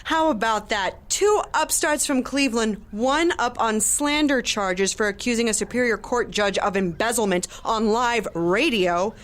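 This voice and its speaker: An actress playing a sharp-tongued, middle-aged professional woman with wounded pride in an audio drama. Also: fairly high pitch, unhurried, hardly any pauses. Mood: sardonic. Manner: sardonic, articulate. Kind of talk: audio drama acting and first-person narration.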